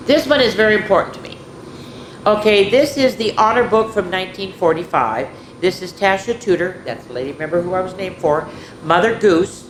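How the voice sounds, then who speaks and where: A woman hosting a children's story time, reading picture books expressively and chatting directly to her young listeners. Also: on the low side. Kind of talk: animated picture book reading with chatty asides. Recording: echoey room, clearly noisy background hum.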